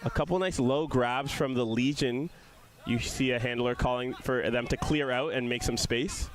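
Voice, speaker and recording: A man's voice: mid-range voice, moderate pace. Young man giving casual game analysis. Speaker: sports commentator. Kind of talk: casual live analysis and commentary.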